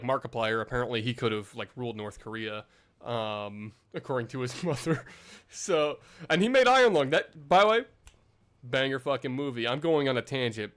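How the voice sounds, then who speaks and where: A man's voice: medium pitch, a bit bright. A young man vlogging in a casual, rambling way, full of fillers and self-deprecating asides.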